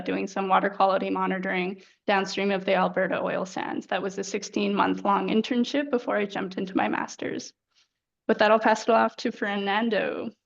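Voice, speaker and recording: A woman from British Columbia, Canada, briskly introducing herself as an alumna panelist. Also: medium pitch, gravelly, lo-fi sound. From Coquitlam, British Columbia, Canada.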